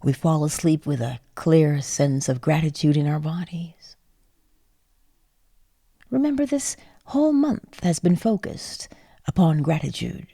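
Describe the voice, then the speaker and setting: A woman leading a guided sleep meditation in a soothing, hushed and breathy voice, leaving long pauses. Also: sits low, expressive, no room sound, clean studio sound. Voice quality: breathy, husky.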